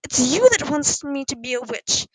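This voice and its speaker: A woman's voice: a little high, takes it slowly, no echo, low-quality recording. A woman voicing an emotional, defiant schoolgirl witch in a storybook, speaking in tearful outbursts. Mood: tearful shouting.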